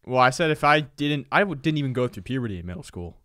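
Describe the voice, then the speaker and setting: A young man co-hosting a podcast in casual chat, making joking deadpan asides, close to a studio mic. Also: mid-range voice, fast, no room sound. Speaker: podcast co-host. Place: room with couch, indoors. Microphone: studio mic close up, headphones.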